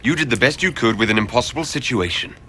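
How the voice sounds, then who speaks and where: An actor voicing a pragmatic, commanding male leader with a husky voice in video game dialogue. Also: medium pitch, lively intonation, fast, sharp tone, slight background noise. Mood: firm.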